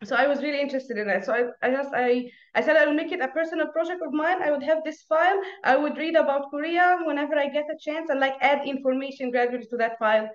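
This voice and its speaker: Young Egyptian woman, Arabic native, high pitch, talkative guest on a lo-fi, roomy video call. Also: quick pace, few pauses. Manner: chatty, laughs often, many restarts. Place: small room at home. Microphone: earphone mic on video call.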